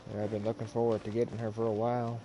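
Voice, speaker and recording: A man doing casual live game stream commentary in a halting, rambling way. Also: on the low side, monotone, low-quality recording. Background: video game music.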